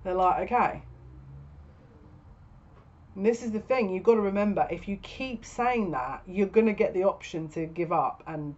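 A woman's voice: mid-range voice, moderate pace, pauses often, darkish tone. A woman in her thirties giving a casual, spoken tarot reading to camera as a psychic reader. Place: small furnished room at home, indoors. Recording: dull lo-fi webcam sound.

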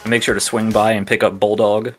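A man's voice: fairly low pitch, flat delivery, fairly quick, soft background music. A man describing what his character does as a player in a tabletop roleplaying game.

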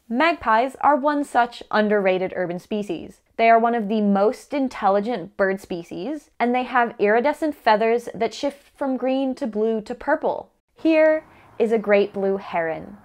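A young woman presents an instructional guide in a fairly high voice. She reads from a script in a measured way, enunciating carefully. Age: young adult. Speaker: presenter of a beginner's birdwatching guide.

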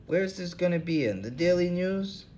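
A man's voice: expressive, normal speed, a little mellow, a little hiss or hum. A man voicing a whiny old male hobo who keeps begging for drink money. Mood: wheedling. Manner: pleading, nagging, higher-pitched.

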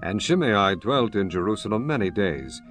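A man with a fairly low voice narrates scripture as a solemn, formal story. It is a scripted dramatized reading with soft music underneath. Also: lively intonation, normal speed. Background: soft background music.

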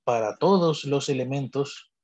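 A man teaching math in a slow, deliberate way, stopping now and then to rephrase a point. His voice is slightly husky, set at an ordinary middle pitch, and recorded close on a computer mic. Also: a little mellow, highs cut off. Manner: measured, deliberate, thinks aloud with pauses and self-corrections. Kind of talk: explaining logic notation on a digital whiteboard.

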